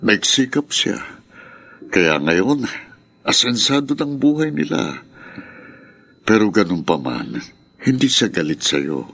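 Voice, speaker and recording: A devoted Filipino brother in a radio drama. His voice is low, rough-edged and forceful, coaxing with earnest worry. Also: very animated, takes it slowly, pauses often, no echo, full character voice. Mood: reassuring. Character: dutiful, gentle, worried younger brother, middle-aged.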